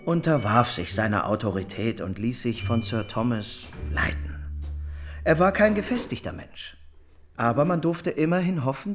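An actor playing a wry, expressive storyteller, narrating a period novel as an audio drama. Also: male voice, mid-range voice, close to an everyday voice.

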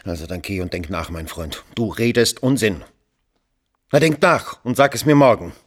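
A man's voice: lowish voice, lively intonation, normal speed. An actor playing an investigating magistrate who questions and narrates in a radio play, pressing, condescending and literary in his wording. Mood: probing, impatient.

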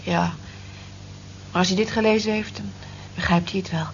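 A dull, faintly noisy radio play recording. An actress plays a lovestruck young woman of medium pitch, replying briefly and guardedly with quiet defiance. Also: steady tone, moderate pace, natural voice. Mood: quietly defiant. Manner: guarded, short replies. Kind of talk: radio drama dialogue.

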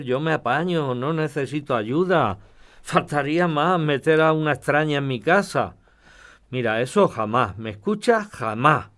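Man acting grumbly old guy from a podcast drama, spain accent, proud and stubborn, voice a bit on the high side. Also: fairly bright tone. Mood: indignant. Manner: emphatic, grumbling, scolding. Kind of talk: audio fiction podcast acting.

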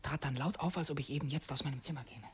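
An actor playing a secretive, brooding would-be assassin in a radio drama, intense and introspective, as if confessing to himself. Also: male voice, a little high, a bit bright, slightly rough. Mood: agitated, calculating. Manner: intense, introspective, halting self-questioning. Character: brooding young male conspirator and would-be assassin, torn and secretive.

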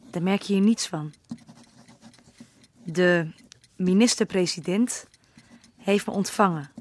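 A woman narrating a letter with slow, reflective delivery. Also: fairly low pitch, a little hiss or hum. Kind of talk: scripted letter-reading narration.

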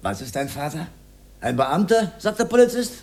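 An actor playing a rambling, pedantic old socialist freethinker with an Austrian accent, in a theatrical radio drama style. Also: male voice, on the high side, big pitch swings, normal speed, a little mellow. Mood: bitter reminiscence. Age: older. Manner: rhetorical, declamatory, given to rambling reminiscence.

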